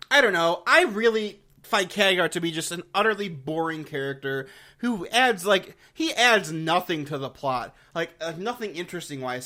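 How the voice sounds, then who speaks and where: A man's voice: a little high, pushed and bright. A man giving a casual, opinionated game lore review, blunt and exasperated, with slangy swearing and mocking asides.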